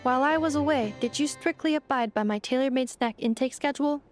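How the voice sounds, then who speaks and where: A strict, composed female android in a video game voiced by a woman speaks in a fairly high voice. The wording is formal and exact, delivered in a measured, matter-of-fact way over soft music. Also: natural voice. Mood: strict, precise. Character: Ineffa, female robot caretaker, calm, precise, strict.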